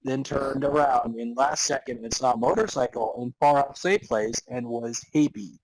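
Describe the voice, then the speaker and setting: Man, husky mid-pitched voice, close dry sound, halting comic narration, amused, podcast host. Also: darkish tone, dry recording, poor audio. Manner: halting, amused delivery of broken sentences. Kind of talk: comic dramatic reading of fan fiction, with casual asides.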